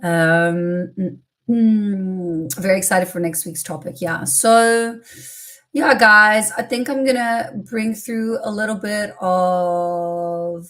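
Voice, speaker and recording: A woman's voice: medium pitch, takes it slowly. An Italian woman with a British-schooled English accent and a slight American twang, chatting casually on a spiritual livestream and chanting flowing syllables. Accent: British-educated English with a slight American twang. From Italy. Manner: chatty and relaxed, many fillers, chants flowing syllable strings. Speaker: spiritual channeler hosting a livestream.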